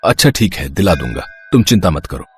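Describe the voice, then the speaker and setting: A man voicing a gentle, patient young father who explains soothingly in a children's moral story. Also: low voice, very animated, brisk, slightly rough, faint music behind, exaggerated voice. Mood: reassuring. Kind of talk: animated Hindi moral story dialogue.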